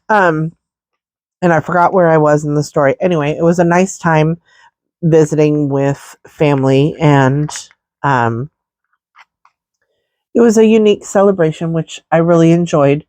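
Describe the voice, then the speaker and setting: A woman's voice: low-pitched, normal speed, long pauses, darkish tone, no echo. A woman with a stuffy, congested nose chatting casually through a makeup tutorial, rambling with many fillers. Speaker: makeup vlogger.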